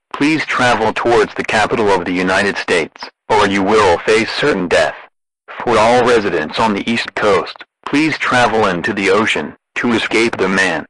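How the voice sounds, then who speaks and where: Deadpan robotic male alert announcer, low-pitched. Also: dry recording, low-quality recording. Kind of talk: scripted emergency broadcast announcement.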